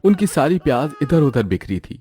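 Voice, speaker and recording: A man's voice: a little high, very animated, moderate pace, warm tone. A man narrating a scripted cartoon moral story as its storyteller.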